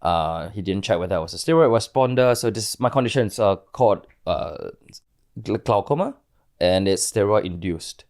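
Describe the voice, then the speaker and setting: A man's voice: on the low side, animated, moderate pace, a little mellow. A man in his late thirties with a Singaporean accent, speaking candidly as an interview guest and often searching for his words.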